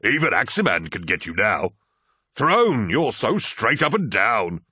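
A jovial, teasing brother-in-arms, played by a male story reader. The voice is animated and a little rough, full of mocking banter and hearty confidence. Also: low voice, moderate pace, no echo, clean studio sound, full character voice.

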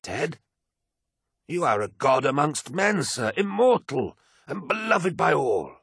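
A man voicing a devoted, frail male equerry in an audiobook, raspy, reverent and formally deferential. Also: medium pitch, expressive, moderate pace, pushed and bright, no room sound, clean studio sound, voice pushed up, exaggerated voice. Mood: adoring. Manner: deferential, fervent, formal address.

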